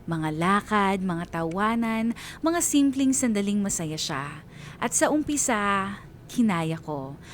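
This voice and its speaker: A woman narrates a scripted first-person drama at a medium pitch. A faint rumbling noise sits under her voice. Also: animated, takes it slowly. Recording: clear rumbling background noise.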